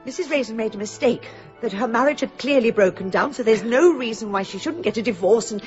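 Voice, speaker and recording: A woman's voice: fairly high pitch, expressive, brisk, a little mellow, lightly acted. An actress playing a fluttery, kindly, chattering English village woman in a radio drama. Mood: anxious tact. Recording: dull radio recording, music behind.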